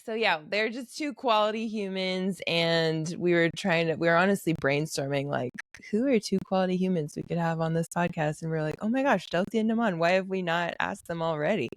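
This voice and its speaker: A woman's voice: fairly low pitch, fairly quick, hardly any pauses, no echo. A woman hosting a podcast in a casual chat, teasing her guests and laughing often. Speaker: podcast host.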